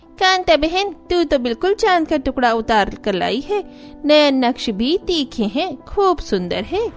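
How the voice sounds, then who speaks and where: A woman puts on a meddling neighbourhood auntie for a cartoon. The voice is extremely high, and she chatters and bosses like a busybody. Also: very animated, a little slow, soft background music, heavily acted. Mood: admiring.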